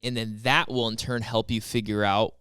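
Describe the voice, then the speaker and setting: A man co-hosting a podcast, easygoing and agreeable in relaxed chat. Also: on the low side, normal speed, clear, resonant tone, no echo, studio quality. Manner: easygoing, agreeable, frequent "yeah" and "like". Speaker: podcast co-host. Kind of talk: casual chat.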